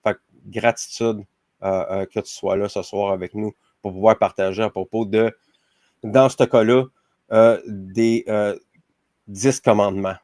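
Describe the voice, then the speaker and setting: A man's voice: lowish voice, steady tone, measured pace, darkish tone, no room sound. A man with a Québécois French accent, hosting a podcast interview, rambling with many fillers. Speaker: podcast host. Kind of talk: casual interview.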